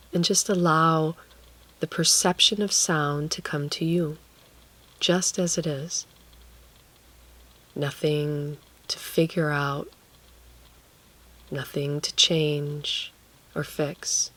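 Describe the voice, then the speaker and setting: A woman guiding a relaxation meditation, speaking gently and leaving long pauses between phrases. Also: deep voice. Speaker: guided meditation teacher.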